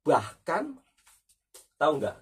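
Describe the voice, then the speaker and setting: Very husky man. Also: on the high side, big pitch swings, slow pace, far from the mic. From Indonesia. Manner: emphatic, repeats points, frequent tag questions. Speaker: host of a reaction talk. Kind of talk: conversational commentary.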